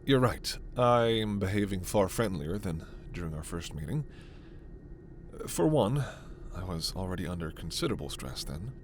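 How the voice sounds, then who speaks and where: A man playing a gentle, scholarly, protective alien doctor in a sci-fi ASMR roleplay, speaking in a measured, formal, precise way. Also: low-pitched, big pitch swings, slight background noise. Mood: kindly, attentive.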